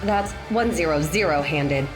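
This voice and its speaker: A woman's voice: deep voice, a little slow, low-quality recording. A woman reading scripted credits as the announcer of an audio drama. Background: prominent music.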